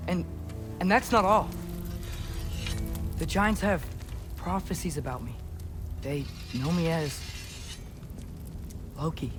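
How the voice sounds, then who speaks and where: A man's voice: fairly high pitch, lively intonation, slow, pauses often, over music. A young man playing an earnest half-giant teenage boy in a video game cutscene, persuasive but tentative. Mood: earnest, hesitant.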